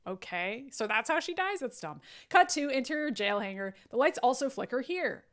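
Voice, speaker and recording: A woman's voice: high-pitched, animated, moderate pace. A woman reading stage directions aloud in a live comedic table read, breaking off into incredulous, mocking asides.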